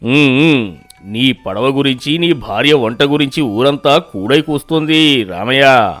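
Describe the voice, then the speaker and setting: A man voicing a gruff, lordly village landlord. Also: medium pitch, lively intonation, measured pace, fairly bright tone, dry recording, soft background music. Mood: stern, threatening. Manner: gruff, threatening, then gushing. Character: stern proud middle-aged village landlord. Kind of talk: animated storybook dub.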